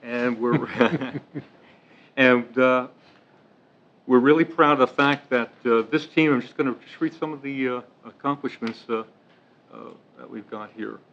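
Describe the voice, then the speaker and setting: A man serving as mayor, opening a township meeting with halting, filler-heavy, joking remarks. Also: medium pitch. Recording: dull lo-fi meeting video.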